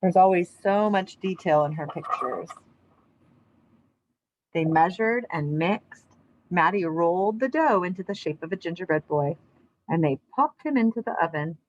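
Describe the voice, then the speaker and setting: A woman speaking as an elementary teacher to young children, in simple, clear wording suited to a lesson or storybook read-aloud. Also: lowish voice, expressive, normal speed, muffled recording, a little hiss or hum. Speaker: elementary physical education teacher.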